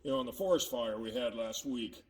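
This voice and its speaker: A man voicing a sad, subdued puppet who speaks in a glum, halting way and trails off. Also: mid-range voice, far from the mic, pitched below the performer's own voice, lightly acted. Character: sad, subdued forest-fire survivor puppet named Mike. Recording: echoey hall with long reverb.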